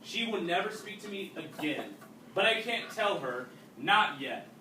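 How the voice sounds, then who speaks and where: A man reading a storybook aloud to a class as their teacher, pausing to explain and ask questions. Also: high-pitched, lively intonation, pushed and bright. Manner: instructive, checking understanding with questions. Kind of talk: read-aloud with explanatory asides and questions to pupils. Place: indoors, classroom. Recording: roomy, distant mic, faint background noise.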